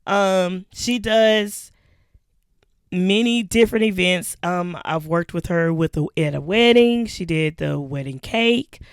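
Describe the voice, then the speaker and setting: A hoarse woman co-hosting a podcast, chatting casually with laughs and slow, drawn-out lists. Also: fairly low pitch, no room sound, studio quality. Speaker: podcast co-host. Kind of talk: casual chat.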